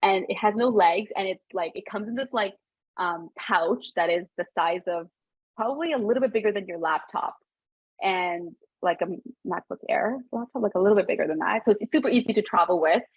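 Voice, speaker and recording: A woman's voice: mid-range voice, brisk, breathy edge, no room sound, muffled recording. A woman in her thirties, born in Sri Lanka and raised in Canada, chatting casually as a podcast guest, with lots of fillers and restarts. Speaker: podcast guest, business founder. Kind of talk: casual interview chat.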